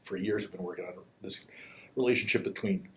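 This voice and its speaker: A man's voice: sits low, brisk, slight background noise. A man interviewing a student in a friendly, joking way, often restarting his sentences.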